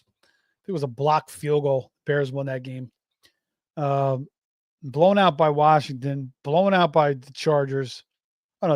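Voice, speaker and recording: Man, middle-aged, slightly hoarse, rambling casual sports talk, fillers and restarts, dry close recording. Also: on the high side, dry recording. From Florida. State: slightly hoarse, voice going raspy. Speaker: fantasy football show host.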